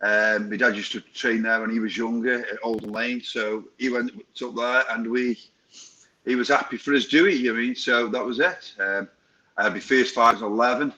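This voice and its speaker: A man's voice: medium pitch, steady tone, normal speed, bright, forceful tone, lo-fi sound. A man, a boxing promoter appearing as a guest, telling his story informally with many restarts. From Stoke-on-Trent area, England.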